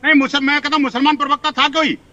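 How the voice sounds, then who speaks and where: A man argues on a debate panel over a dull, lo-fi feed. His voice is extremely high and quick, and he presses the same challenging question insistently. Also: little pitch movement, a loud crowd. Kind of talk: heated debate interjection.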